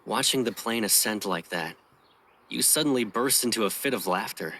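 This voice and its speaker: A man playing a teasing, protective older childhood friend, a young pilot in training, in dramatic romance game dialogue, with a playful, unhurried delivery. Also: on the low side, lively intonation, sharp tone, full character voice. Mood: wistful, tender. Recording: music behind the voice.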